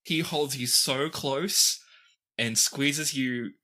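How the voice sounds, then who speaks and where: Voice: medium pitch, very slow, bright, forceful tone. A game master narrating an improvised tabletop game.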